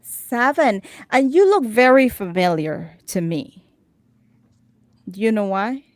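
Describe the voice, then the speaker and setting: A woman's voice: fairly high pitch, very animated, slow, no echo. A woman hosting a talk show, chatting casually with her guests and repeating words as she starts sentences. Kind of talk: casual interview chat.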